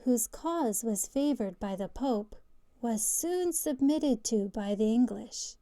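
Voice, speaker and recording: A woman storyteller reading a bedtime story in a soothing, measured manner. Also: fairly high pitch, lively intonation, warm tone.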